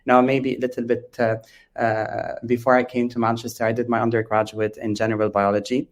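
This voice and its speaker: A man from Lebanon with a Lebanese accent, explaining things as an interview guest, halting often with drawn-out ums. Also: medium pitch, level tone. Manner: hesitant, halting, with frequent long ums. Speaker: interview guest, biotech company founder.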